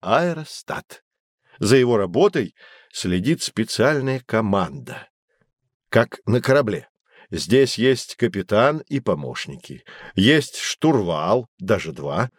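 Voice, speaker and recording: An actor playing a knowledgeable man who guides children through an educational audio drama, explaining things in a clear didactic way. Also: lowish voice, sing-song, takes it slowly, dry recording, studio quality, heavily acted. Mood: patient, explanatory. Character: knowledgeable male teacher guiding children on adventures. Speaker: guide explaining history and technology.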